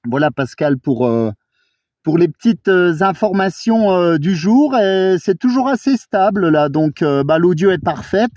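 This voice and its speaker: A man's voice: on the high side, warm tone, no echo, highs cut off. A man giving a casual, hesitant running commentary outdoors as an amateur radio operator. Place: outdoors on a mountain viewpoint. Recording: noisy outdoor recording with radio sounds.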